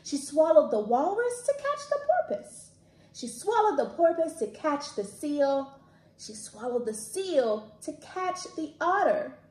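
A woman in her thirties hosting a library storytime, reading aloud to children expressively with dramatic, drawn-out emphasis. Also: sits high, very animated, a little mellow. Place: small indoor room, plain wall. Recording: echoey room.

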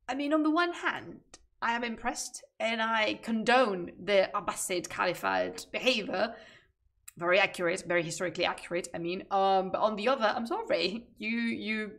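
Young woman, Spanish accent, lively casual streamer, mid pitch; natural chat to camera. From Spain. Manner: animated, gestures while talking, chats with viewers. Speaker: streamer, manuscript researcher. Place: home room, indoors.

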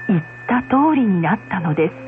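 A woman's voice: mid-range voice, sing-song, brisk, music in the background. A woman narrator reading a scripted folktale in storytelling style. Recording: muffled lo-fi radio sound.

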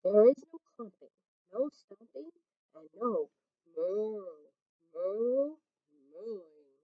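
A woman's voice: medium pitch, lively intonation, very slow, no echo. A woman voicing a fussy, strict middle-aged male farmer who lays down the rules emphatically. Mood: stern. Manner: emphatic, scolding lists of rules. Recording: dull lo-fi dry recording.

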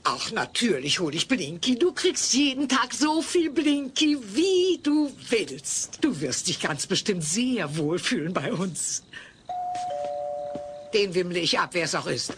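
A scheming sideshow owner in an audio play. Theatrical and bossy, the voice swings widely in pitch. A little hiss sits behind it. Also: female voice, big pitch swings, a little slow. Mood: falsely sweet. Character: scheming, brusque female carnival freak-show owner. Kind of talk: German audio play acting. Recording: slightly noisy old cassette.

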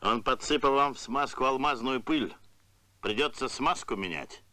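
A man as a sharp, grumbling ship's mechanic. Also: mid-range voice, normal speed, no echo, natural voice. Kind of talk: animated film voice acting.

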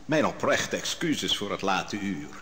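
An actor playing a calm, sharp young prophet who cross-examines witnesses in court in a radio drama. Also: male voice, low-pitched, big-room sound, noisy background. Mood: probing. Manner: probing, measured. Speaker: interrogator in court. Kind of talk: vintage radio drama acting.

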